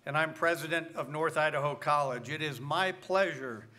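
A middle-aged American man giving a formal, measured welcome address at a ceremony as college president. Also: fairly high pitch, pushed and bright. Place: large gymnasium, on stage. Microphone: podium microphone.

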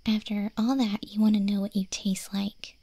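A woman playing a teasing, caring wolf girl in an ASMR roleplay, speaking in a soft, breathy whisper with a gently deadpan manner. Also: medium pitch, moderate pace, a little hiss or hum, natural voice. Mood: playfully teasing.

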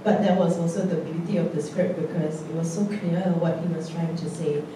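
A woman's voice: fairly low pitch, fairly even intonation, fairly quick, very echoey, noisy background. Brief: emotional, chatty woman panelist, distant mic in a big theatre. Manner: emotional, chatty, nervous laughs. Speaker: actor on a post-show panel. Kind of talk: casual panel chat. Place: indoor theatre stage.

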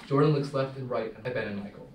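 A man's voice: mid-range voice, fast talker, a little mellow. A young man reading stage directions as scripted narration at a film table read. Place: small meeting room, indoors. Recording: roomy, distant mic, faint noise.

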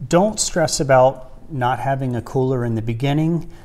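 A man in his forties, a farmer and co-host, giving measured, plain-spoken advice in a casual chat. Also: mid-range voice, darkish tone. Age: 40s. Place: small wood-panelled room indoors by a stove. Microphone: lapel mic.

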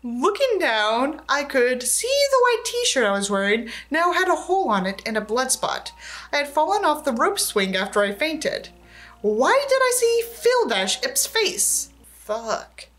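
A woman's voice: sits high, sing-song, unhurried, fairly bright tone, faint music behind. A young woman reading a book aloud as a commentary host, theatrical and sarcastic, with profane asides. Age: young adult. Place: indoors, small set with tinsel backdrop.

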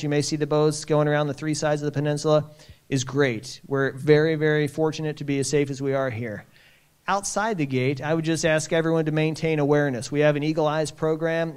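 A man's voice: a little high, a little mellow, clear, resonant tone. A man hosting a base town hall, reading out audience questions and answering them in a plainspoken, reassuring way. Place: conference center.

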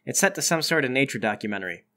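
A man's voice: medium pitch, animated, rapid-fire, pushed and bright. A man narrating a tabletop role-playing game as game master.

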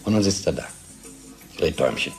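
An actor playing a gloomy, disillusioned husband in a German radio play, speaking in an emphatic, brooding way. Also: male voice, low voice, animated, brisk, faint music behind. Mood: agitated, bitter. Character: disillusioned middle-class husband, agitated and gloomy. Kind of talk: radio drama acting.

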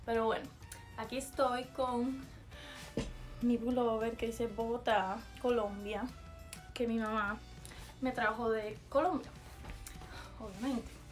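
Young cuban girl vlogging from her bedroom, kinda high voice, sprinkles english words in, faint music behind. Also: fairly even intonation, takes it slowly, pauses often. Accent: Cuban Spanish. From Cuba. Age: young adult. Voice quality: chatty, mixes in English words. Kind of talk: casual vlog, taste test. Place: small bedroom, indoors.

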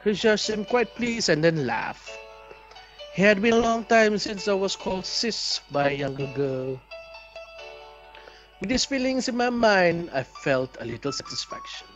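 Male gaming host, fairly high voice, very animated intonation, reads story text aloud with asides and self-corrections. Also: measured pace. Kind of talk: reading a visual novel aloud with commentary. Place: small indoor room, seated at webcam. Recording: slightly dull, lo-fi microphone sound. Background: faint game music.

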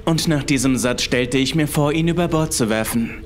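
A man's voice: mid-range voice, normal speed, slight background noise. A man reading a scripted first-person horror story as its narrator. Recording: music behind the voice. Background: background music.

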